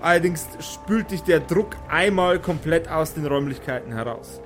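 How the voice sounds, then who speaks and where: A man's voice: a little high, animated, moderate pace, faint music behind. A man running a tabletop game as game master, improvising narration for the players.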